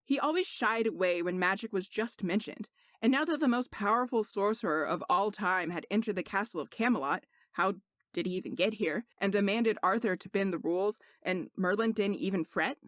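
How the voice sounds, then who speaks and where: A woman's voice: mid-range voice, brisk, dry recording, clean studio sound. A woman reading scripted fiction aloud as an audiobook narrator.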